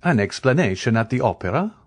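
A man reading the part of a calm, commanding count who speaks with cool dignity. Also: mid-range voice, pitched above the performer's own voice. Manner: measured, lofty, quietly authoritative.

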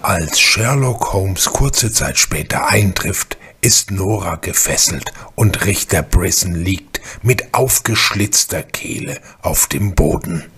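A man narrating a radio crime drama with a husky, gravelly voice. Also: deep voice, animated, slow pace, hardly any pauses, fairly bright tone.

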